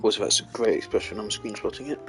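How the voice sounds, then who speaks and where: Fast-talking man gaming casually. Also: lowish voice, fairly bright tone, soft background music. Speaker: video game player. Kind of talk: casual excited reaction commentary.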